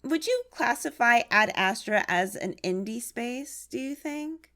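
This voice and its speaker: A woman's voice: on the high side, expressive, unhurried, sharp tone. A woman hosting a podcast interview, asking short questions and giving brief, encouraging affirmations. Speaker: podcast host. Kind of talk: interview host.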